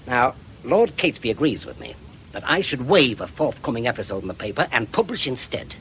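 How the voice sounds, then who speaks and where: A man's voice: mid-range voice, big pitch swings, fairly quick, fairly bright tone. A man playing an older retired policeman in a radio drama, vengeful, self-important and emphatic, on a noisy recording. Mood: proud, purposeful.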